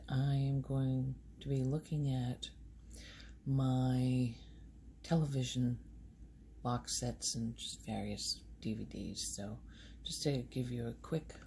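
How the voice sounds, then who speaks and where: A soft-spoken woman talks in an ASMR style, gentle and unhurried. Her voice is mellow and relaxed, with long, slow phrasing. A faint layer of background noise sits under her casual rambling. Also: extremely low pitch, gravelly. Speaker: host of a relaxation video channel.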